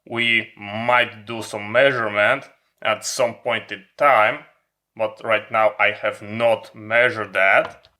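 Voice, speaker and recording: A man giving an informal hands-on product demonstration as a hobby vlogger, explaining in deliberate, halting phrases with emphatic pauses. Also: fairly low pitch, fairly even intonation, bright, forceful tone.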